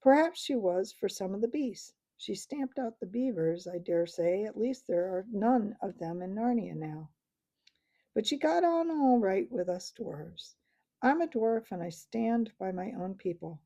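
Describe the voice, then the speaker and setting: A defiant male dwarf, voiced by a woman reading aloud who snaps bitterly and rises to shouts. The storybook voice is gravelly and mellow. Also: fairly high pitch, animated, measured pace, voice pushed up. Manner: bitter, snapping, rising to shouts.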